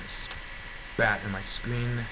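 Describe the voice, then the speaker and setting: A man's voice: mid-range voice, level tone, slow pace. A man giving a casual, rambling update for his video channel, often repeating himself and restarting sentences. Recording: noticeably noisy amateur home recording.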